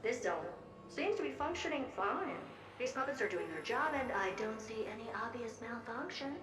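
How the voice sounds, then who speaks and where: An actress playing a haughty, playful genius scientist in a video game, self-assured, dismissive and theatrical in manner. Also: female voice, fairly high pitch, lively intonation, moderate pace, music in the background, natural voice. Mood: cool, wry. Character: haughty, playful genius woman scientist who builds puppets.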